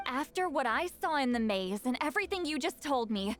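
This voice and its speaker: A centuries-old shaman who still sounds youthful. Her voice is high, proud and prickly. She speaks with insistent, dry sarcasm in a video game style, over light music. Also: animated, brisk. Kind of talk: video game character acting.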